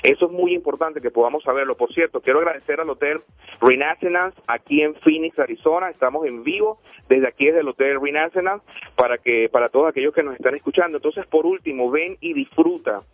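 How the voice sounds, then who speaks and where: A man's voice: fairly high pitch, fairly quick, dry recording. A man from Venezuela hosting a motivational radio show, speaking emphatically and repeating himself for effect. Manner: preachy, emphatic, repeats phone numbers. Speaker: radio host. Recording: tinny phone-like line.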